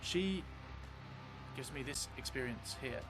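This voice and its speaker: A middle-aged man improvises narration for a tabletop game. His voice sits at an average pitch, and he speaks reflectively, feeling his way through each phrase. Music plays clearly behind him. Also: lively intonation, takes it slowly, poor audio. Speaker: solo role-playing game streamer. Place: small indoor room, seated at a desk. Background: background music.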